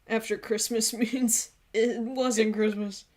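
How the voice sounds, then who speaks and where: A woman's voice: fairly high pitch, steady tone, slow pace, a little mellow. A woman chatting casually as she streams a game, easygoing and giggly.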